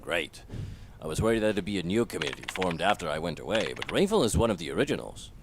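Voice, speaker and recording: A man's voice: low voice, sing-song, fast, slight background noise. A man playing a brash, bossy, sardonic columnist in a voiced comic, with a commanding, worldly manner. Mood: brisk, commanding. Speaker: voice actor. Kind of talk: voiced comic book dialogue.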